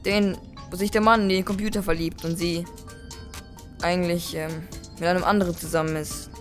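A woman's voice: lowish voice, little pitch movement, normal speed, soft background music, natural voice. A woman playing an earnest, impulsive male taxi driver in an amateur audio play.

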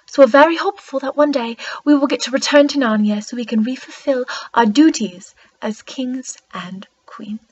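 A woman's voice: high-pitched, normal speed, hardly any pauses, darkish tone, muffled recording. A woman playing an eager, wide-eyed young girl queen from a magical land in an earnest storybook monologue. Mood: earnest, hopeful. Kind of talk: character monologue.

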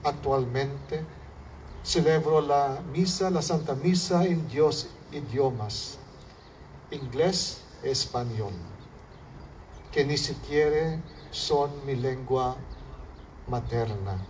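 A priest with a Filipino accent preaches very slowly and deliberately, his phrases sinking at their ends. His voice comes from some distance off the mic at an outdoor altar under a canopy, with a faint hiss of open air behind it. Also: mid-range voice, a little mellow. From Philippines. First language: Hiligaynon. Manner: slow, measured preaching with falling phrase ends. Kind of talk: homily. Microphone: far camera, mic at lectern. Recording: distant outdoor recording with faint background noise.

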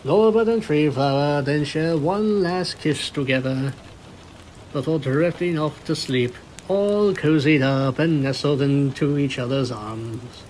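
A man's voice: mid-range voice, a little mellow, slight background noise, low-quality recording. A man reading scripted story narration as the narrator of a fan fiction tale.

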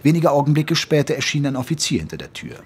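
A man playing a gentlemanly English doctor who narrates his memoir in a measured, literary way in an audio drama. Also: fairly high pitch, very animated, husky, full character voice. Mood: composed narration.

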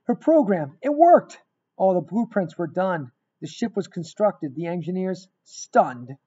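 A middle-aged man reading a rhyming picture book aloud in an expressive, emphatic storytelling manner. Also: sits high, unhurried, slightly rough. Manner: emphatic, dramatic storytelling with repeated phrases. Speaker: guest reader of a children's book. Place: small indoor room. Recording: dull, lo-fi webcam sound.

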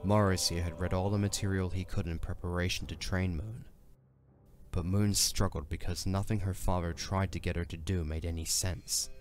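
A man giving a measured, serious narration of a scripted dramatic story. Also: low voice.

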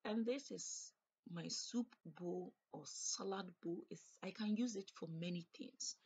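A woman's voice: mid-range voice, measured pace, a little mellow, breathy edge. A woman chatting casually as she cooks in her home kitchen, explaining each step as she goes. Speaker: cooking vlogger. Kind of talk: casual cook-along narration.

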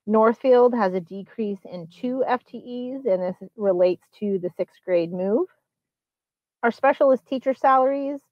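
A woman presenting a school budget at a public hearing, explaining line items and figures in a measured, explanatory way. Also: mid-range voice, no room sound, muffled recording. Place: public meeting.

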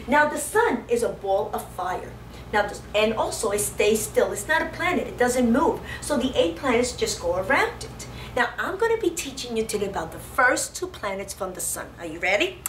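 Echoey, high-voiced woman teaching preschoolers. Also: normal speed, a little hiss or hum. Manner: animated, simple child-directed talk with repeated questions. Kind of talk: children's lesson and read-aloud. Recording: roomy, echoing, distant microphone, faint music.

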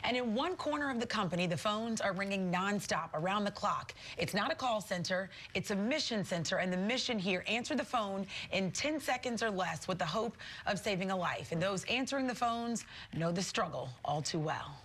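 A woman's voice: medium pitch, expressive, normal speed, few pauses, a bit bright. An American woman working as a television news reporter, delivering and discussing a news story. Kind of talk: scripted news report narration and live studio discussion.

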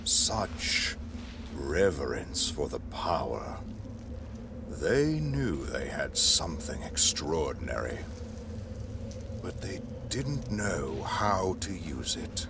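Sci-fi audio drama villain: bottomless pitch, unhurried, breathy menace, imperious contempt. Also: male voice, sing-song, noisy background, exaggerated voice. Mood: brooding. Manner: slow, deliberate, condescending. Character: sinister, imperious dark lord, contemptuous and power-hungry.

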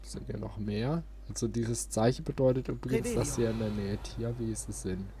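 A man's voice: medium pitch, moderate pace, a crowd in the background. A man hosting a let's play, giving casual, playful commentary on a video game. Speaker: let's player, host. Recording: game noise behind the voice. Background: video game ambience.

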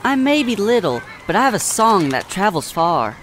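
Audio drama songbird, male actor: gentle, measured storyteller, faint background noise. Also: sits high, sing-song, poor audio. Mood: polite. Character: gentle, wise little songbird telling a story.

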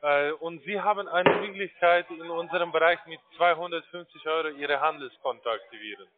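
A man with a foreign accent making a phone sales pitch in formal, halting German with grammar slips. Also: slight background noise. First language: not German. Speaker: call-centre salesman. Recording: tinny narrow phone line.

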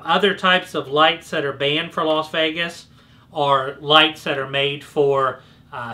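A man's voice: mid-range voice, pushed and bright. A middle-aged man with an American accent, explaining products to camera in an informal, conversational way. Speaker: presenter for a trade show supplier. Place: indoor display booth set, standing behind a counter.

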